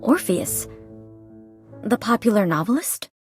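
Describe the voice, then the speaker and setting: Guarded, deliberate young woman over music. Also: mid-range voice, sing-song. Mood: inquiring, guarded. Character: reserved, watchful young woman secretly investigating a manor. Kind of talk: scripted video game dialogue and monologue.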